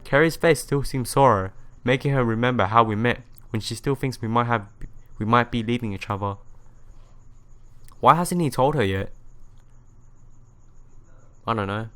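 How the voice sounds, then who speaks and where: A man's voice: mid-range voice, fairly bright tone, clear, resonant tone. A man hosting a let's play, reading visual novel narration aloud and sometimes stumbling and correcting himself.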